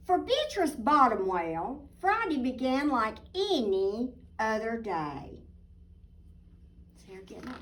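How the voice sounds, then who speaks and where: A woman reading a picture book aloud expressively, deliberate and emphatic, with little asides to young listeners. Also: a little high, sing-song, a bit bright. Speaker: children's storybook reader, teacher. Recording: distant microphone.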